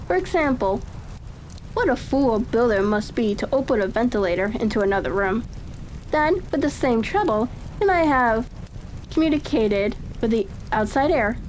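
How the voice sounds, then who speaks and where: Storybook sleuth, Victorian gentleman, woman reader, observant and probing, very animated intonation over background noise. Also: a little high, normal speed, natural voice, low-quality recording. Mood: intrigued. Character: brisk, keenly observant male Victorian detective.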